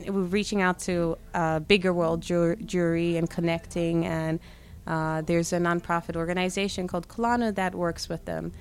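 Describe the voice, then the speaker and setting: A young woman from Zimbabwe, a guest on a camp radio station, answering questions with many fillers and restarts. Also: lowish voice. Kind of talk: radio interview.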